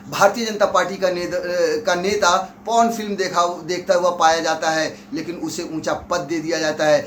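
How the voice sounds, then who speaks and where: A man's voice: fairly high pitch, a bit bright. A man presenting opinionated news commentary, addressing viewers directly in an accusatory, rhetorical way. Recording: echoey room, clear background noise.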